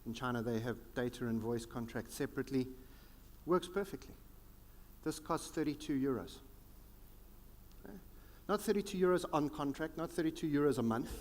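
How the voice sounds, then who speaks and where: A South African man giving a conference keynote, with dramatic pauses and a sweeping, emphatic delivery. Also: mid-range voice, lively intonation, in a large hall. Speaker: keynote speaker. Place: large conference hall.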